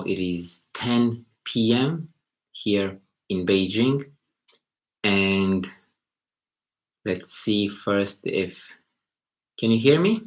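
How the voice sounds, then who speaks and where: A man from Romania, hosting a casual live stream as a psychology teacher and thinking aloud as he talks. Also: lowish voice. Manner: unhurried, thinking aloud, rephrasing as he goes. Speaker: psychology teacher and channel host. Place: indoors in Beijing at night.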